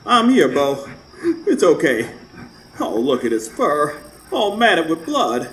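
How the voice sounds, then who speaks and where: A man's voice: on the high side, big pitch swings, slow, fairly bright tone, heavily acted. An actor playing a rustic, kindly neighbor devoted to his old hound, with folksy colloquial talk, in a radio drama recorded live on stage. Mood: concerned. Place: stage before a live studio audience. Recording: roomy live stage recording, background noise.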